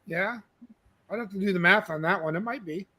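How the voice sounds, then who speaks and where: A man's voice: high voice, brisk, sharp tone. A man hosting a casual car chat, asking brief, practical questions.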